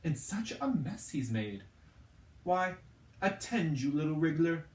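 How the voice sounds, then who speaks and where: A man's voice: a little high, very animated, a little slow, darkish tone, pitched above the performer's own voice. A man voicing a motherly, stubborn, plain-spoken ghost woman in a storybook reading. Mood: fond scolding. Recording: roomy, echoing, distant from the mic.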